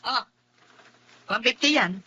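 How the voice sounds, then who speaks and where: A woman's voice: on the high side, slow, pushed and bright, slight background noise. A woman playing a brash, mocking market vendor with a dismissive manner in a Filipino radio drama. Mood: scornful. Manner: sneering, dismissive. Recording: muffled radio-drama audio.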